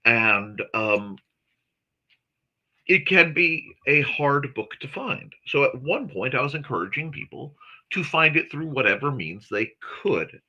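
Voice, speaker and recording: A man hosts a laid-back livestream, chatting with viewers as though they were in the room. His very bright, sharp voice swings up and down lively as he rambles along and keeps correcting himself mid-sentence. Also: mid-range voice, a little slow, muffled recording. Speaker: host of a morning talk stream. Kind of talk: casual livestream chat, answering viewers.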